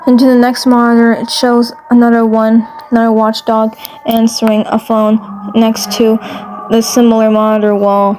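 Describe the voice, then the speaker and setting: A woman narrating a fan fiction story, reading haltingly and often repeating phrases. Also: a little high, completely flat delivery, darkish tone, slight background noise, poor audio.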